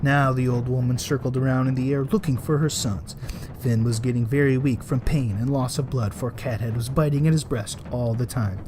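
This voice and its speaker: A man reading a folktale aloud as a storyteller, in expressive storybook narration. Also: medium pitch, moderate pace, background noise. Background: added music.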